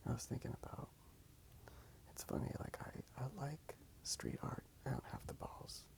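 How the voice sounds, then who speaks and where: A man whispers in a very creaky, gravelly voice at a middling pitch. He rambles softly and casually, in the manner of an ASMR video made while drawing. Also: very animated, normal speed.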